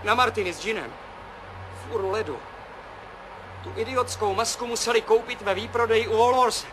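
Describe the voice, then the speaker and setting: An actor playing an awed, rambling test pilot in a 1970s radio play, musing in halting short phrases. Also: male voice, high-pitched, close to an everyday voice. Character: male test pilot, awed, rambling, seeing strange visions. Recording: noisy 1970s radio drama, engine drone.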